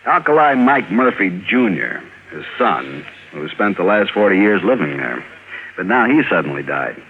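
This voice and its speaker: An actor playing a businesslike, suspicious insurance manager in a 1950s radio drama, measured and emphatic. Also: male voice, low-pitched, sing-song. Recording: tinny, slightly noisy vintage radio recording.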